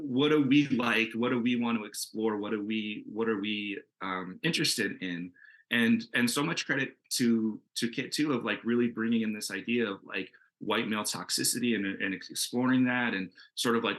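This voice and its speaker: A man with a bright, forward voice talks as a creator on a panel. He is enthusiastic and conversational, wandering through his thoughts in an informal way. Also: lowish voice, rough recording. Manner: rambling, enthusiastic, peppered with "like" and "you know". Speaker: comic book creator answering questions in a panel. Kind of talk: casual, conversational panel discussion.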